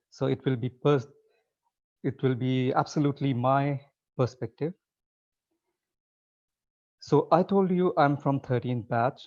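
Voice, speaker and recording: A man, talking in a warm, mellow tone. He speaks in an easygoing, conversational way and often restarts his sentences. The sound is a little dull and muffled, like audio from a video call. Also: mid-range voice. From Nepal. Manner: relaxed and conversational, with frequent restarts. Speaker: guest speaker. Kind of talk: informal webinar talk. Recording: slightly muffled webinar audio.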